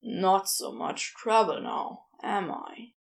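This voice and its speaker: A woman's voice: medium pitch, takes it slowly, voice pushed down. A woman voicing a lovestruck man in an audiobook, needy and teasing, with moans and cries in his delivery. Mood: teasing, content. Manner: moaning, crying out.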